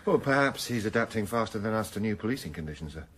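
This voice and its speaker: An actor playing a calm, quietly wry police detective in a radio drama, understated and measured. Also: male voice, fairly low pitch, slightly rough. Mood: dry, wry. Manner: understated, dry. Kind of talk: 1990s radio drama acting.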